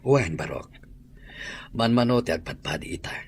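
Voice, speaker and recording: A man's voice: low voice, sing-song, moderate pace, vocal fry, a little hiss or hum, exaggerated voice. A man playing a solemn, persuasive school principal in a radio drama, grave and authoritative.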